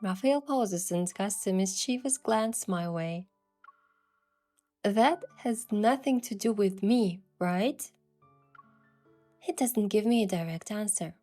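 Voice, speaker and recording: A woman's voice: mid-range voice, takes it slowly, pauses often, dark tone. Woman narrating a romance, mid-range voice. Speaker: narrator and voice of the heroine. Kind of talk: scripted first-person romance narration. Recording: music behind the voice.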